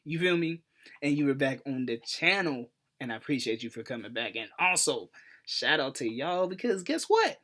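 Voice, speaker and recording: A man's voice: medium pitch, big pitch swings, moderate pace, a bit bright. American dude in his 20s doing a casual storytime vlog, slangy and playful, hypey youtuber talk. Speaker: YouTube host.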